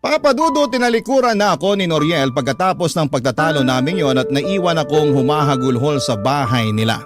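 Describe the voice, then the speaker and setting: A man's voice: on the high side, animated, brisk. A man reading a listener's letter as a radio host, in a dramatic first-person storytelling style. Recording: loud background music. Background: prominent music.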